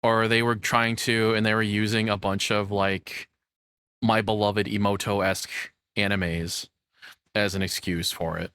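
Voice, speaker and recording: A man's voice: lowish voice, little pitch movement, unhurried, a bit bright, clean tone, dry recording. Rambling, joking man in a dry room. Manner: rambling, joking, exasperated, swearing. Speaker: reaction streamer. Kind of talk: casual off-the-cuff reaction commentary.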